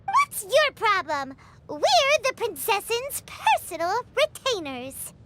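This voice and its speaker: A woman's voice: very high voice, huge pitch swings, takes it slowly, noisy background, heavily acted. A woman voicing a tiny, feisty, childlike companion in an anime game, with music behind. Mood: indignant.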